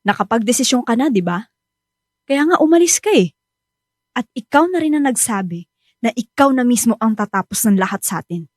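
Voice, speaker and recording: A woman's voice: a little high, lively intonation, brisk, pauses often, dry recording, clean studio sound. A woman playing a hurt, reproachful betrayed wife and young mother in a Filipino radio drama, with a Filipino accent. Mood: cold, bitter.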